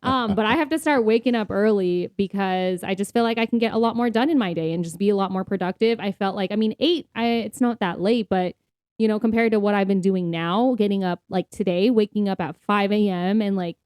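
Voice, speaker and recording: A woman's voice: medium pitch, a little fast, darkish tone, clear, resonant tone, no echo. Woman podcast host, chatty and informal, really dry room sound. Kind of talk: casual conversational podcast chat.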